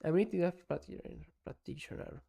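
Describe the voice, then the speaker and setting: Italian man as a live-stream sports analyst: gravelly, mellow, halting non-native English. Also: fairly high pitch. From Italy. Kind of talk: casual live-stream commentary.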